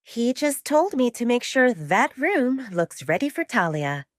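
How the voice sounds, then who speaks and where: A woman reads as a smirking young werewolf man in a romance audiobook. He is gossipy and knowing, with bouncy, varied pitch in a dry, close recording. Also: sing-song, measured pace, no room sound. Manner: teasing, knowing. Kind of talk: audiobook romance drama acting.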